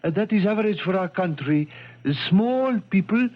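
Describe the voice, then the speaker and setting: A cheerful naive crown prince, really high voice, muffled 70s radio drama. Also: male voice, lively intonation, normal speed. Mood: delighted. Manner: exuberant, deferential to his brother. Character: tall, jovial, naive Himalayan crown prince. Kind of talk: 1970s radio drama acting. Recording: muffled 1970s radio broadcast.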